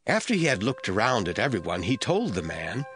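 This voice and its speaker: Expressive male scripture narrator over soft music. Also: on the low side, brisk. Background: faint music.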